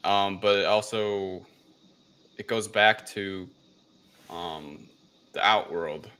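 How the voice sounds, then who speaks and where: Bright-voiced, low-pitched man recapping casually. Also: slow. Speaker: podcast co-host. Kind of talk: casual film recap chat.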